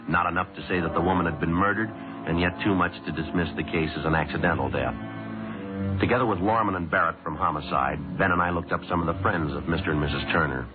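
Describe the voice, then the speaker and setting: Muffled old radio cop show, guy acting as deadpan detective sergeant, really low voice, talks fast. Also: lightly acted. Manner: deadpan, clipped. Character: dry, businesslike male police detective sergeant narrating a case. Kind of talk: 1950s radio police drama acting. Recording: muffled old-time radio recording with music.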